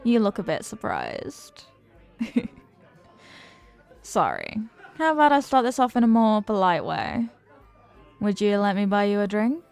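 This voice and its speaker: A woman's voice: medium pitch, wildly swooping pitch, a little slow, creaky, long pauses, a little mellow, soft background music, heavily acted. A woman playing a flirty, confident, playful stranger in an intimate roleplay, with a teasing, coy, sweet-talking manner. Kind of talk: intimate roleplay audio acting.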